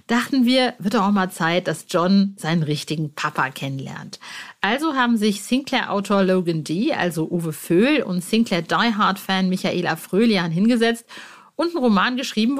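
A woman hosts a podcast in a medium-pitched voice. She addresses listeners directly in a chatty, informal way, using colloquial everyday phrasing. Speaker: podcast host.

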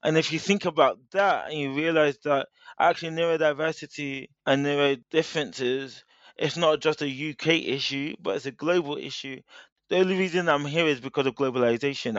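Measured man explaining. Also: a little high, fairly bright tone, no echo, highs cut off. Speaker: guest speaker. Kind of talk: interview, explaining at length.

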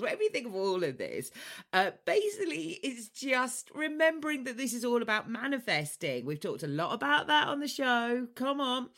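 A woman hosting a podcast in a chatty, playful style, with a cheeky, theatrical and expressive delivery. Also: fairly high pitch. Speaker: podcast host.

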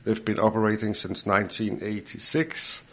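A man in his fifties with a Danish accent talks through investment ideas in a studio interview. His voice is low and gravelly, with a bit of fry, and he chats easily, repeating words and chuckling. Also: steady tone, normal speed, fairly bright tone. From Denmark. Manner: chatty, repeats words, chuckles while explaining. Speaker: financial adviser guest. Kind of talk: podcast interview.

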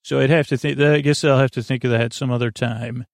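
A man hosting a bedtime podcast, rambling in a halting, meandering way and often trailing off mid-thought. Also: medium pitch, steady tone, no room sound, studio quality. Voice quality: creaky, gravelly. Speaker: podcast host.

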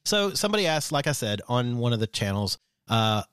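A man co-hosting a podcast, chatting in a relaxed way, with frequent fillers and the odd swear. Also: mid-range voice, fairly quick, clear, resonant tone, no echo, clean studio sound. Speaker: podcast co-host. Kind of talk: casual chat.

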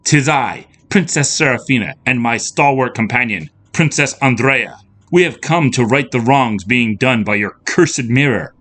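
A man's voice: mid-range voice, lively intonation, unhurried, a bit bright, voice pushed up. A man voicing a bold, regal young princess who speaks in a grandly formal, theatrical way. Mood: proud. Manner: archaic, grandly formal speech.

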